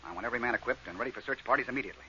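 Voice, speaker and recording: A noisy, muffled vintage radio recording of a spaceship captain. He speaks quickly in a medium-pitched voice, clipped and authoritative, in 1950s sci-fi drama style. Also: pushed and bright. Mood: stern. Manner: brisk, authoritative, clipped orders. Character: commanding, gruff male spaceship captain. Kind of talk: 1950s science fiction radio acting.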